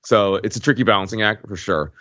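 A man co-hosting a podcast, talking casually and informally in halting bursts with many asides. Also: lowish voice, a bit bright, dry recording, dull audio. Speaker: podcast co-host. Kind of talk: casual conversational podcast talk.

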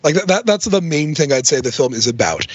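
A man with a somewhat high voice explains as a guest expert in casual discussion. The recording is dry and a little dull, with no room around him. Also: expressive, brisk, no room sound.

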